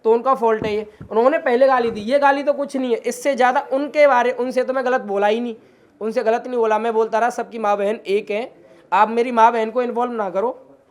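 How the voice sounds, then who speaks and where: A man's voice: very high-pitched, fairly even intonation, fairly quick. A man giving an insistent, repetitive spoken account of how he was wronged. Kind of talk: spoken account of threats received.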